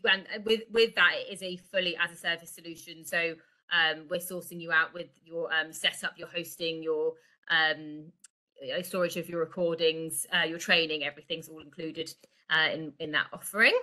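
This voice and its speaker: A woman's voice: mid-range voice, bright, forceful tone. A middle-aged woman giving an informal, explanatory product presentation over an online video call. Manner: explanatory, conversational. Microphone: video call microphone.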